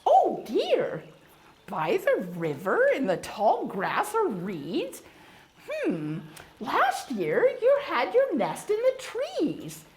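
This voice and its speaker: A woman voicing a genteel, kindly female beaver who hosts a tea party and teaches young viewers, with a fluttery, sing-song manner full of soft "oh"s and "well"s. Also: sits high, wildly swooping pitch, drawn-out words, exaggerated voice. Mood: gracious. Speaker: puppet show hostess and teacher. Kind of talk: children's educational puppet show. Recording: echoey room with faint noise.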